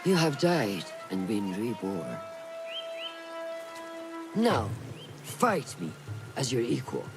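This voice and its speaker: A woman playing a stern assassin mentor in a video game, gravelly, low for a woman and commanding in a measured way. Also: sing-song, over music, heavily acted. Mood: solemn challenge. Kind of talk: dramatic video game acting.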